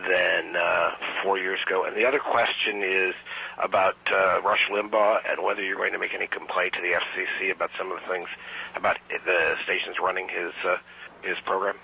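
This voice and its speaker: A male reporter with a deep, very forceful voice poses questions on a phone press call. There is a raspy, gravelly edge to his delivery. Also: low-quality recording. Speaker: trade magazine reporter. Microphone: telephone.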